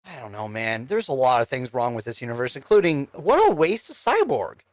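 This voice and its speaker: A woman's voice: rumbling low, big pitch swings, fairly quick, a little mellow. A woman co-hosting a casual, opinionated review chat, talking bluntly in slang with plenty of swearing. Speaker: podcast co-host reviewing animated films.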